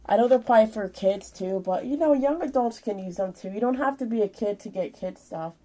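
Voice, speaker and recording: A woman's voice: a little high, expressive, a little fast, dark tone, rough recording. Casual young woman showing off her stuff. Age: 20s. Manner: rambling, informal, hands-on explaining. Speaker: vlogger showing purchases. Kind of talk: casual show-and-tell vlog.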